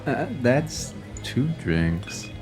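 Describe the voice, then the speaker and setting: A tipsy, flirtatious barfly in a horror audio drama. His pitch swings around a lot as he delivers cheesy pick-up talk. Music is clearly audible behind him. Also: very animated, drawn-out words. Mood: tipsy flirting. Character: inebriated, flirtatious married male barfly.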